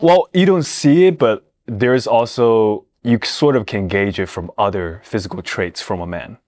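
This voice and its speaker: A man's voice: lowish voice, moderate pace, darkish tone, no echo. A man from China with a Chinese accent and Mandarin as his first language, hosting a playful casual chat and teasing his guest. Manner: teasing, joking. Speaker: podcast host.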